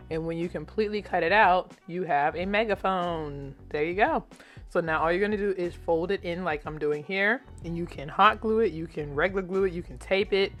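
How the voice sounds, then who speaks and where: An American woman presenting a children's craft tutorial in a chatty, informal, encouraging way. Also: deep voice.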